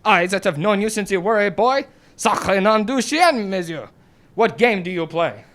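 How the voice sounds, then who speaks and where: A man's voice: high-pitched, lively intonation, normal speed, fairly bright tone. A man playing an indignant Corsican smuggler sailor with a French accent and emphatic broken English, in an old-time radio drama.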